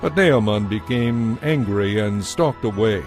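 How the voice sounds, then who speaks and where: A man's voice: lowish voice, lively intonation, a little slow. A man narrating a dramatized audio Bible as a scripted story, recorded close. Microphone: close, dry studio microphone. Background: faint music.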